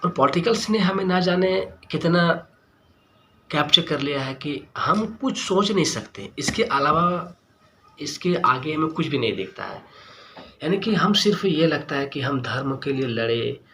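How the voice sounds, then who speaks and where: A man's voice: a little high, lively intonation, measured pace, sharp tone, slight background noise. A man giving an earnest opinion monologue to viewers as a vlogger, speaking emphatically and repeating key words and phrases.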